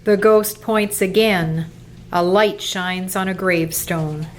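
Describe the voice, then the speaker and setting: A woman narrating a radio play as a measured, solemn storyteller, reading from a script. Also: lowish voice, steady tone, slight background noise. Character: storyteller of a ghostly Christmas tale, measured and solemn.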